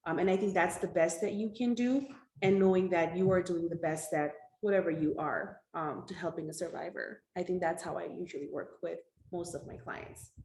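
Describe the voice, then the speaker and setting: A woman speaking as an advocate on a community panel, reflective and soft-spoken. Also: lowish voice, brisk, few pauses. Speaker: domestic violence advocate. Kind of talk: panel discussion. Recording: echoey room with faint noise.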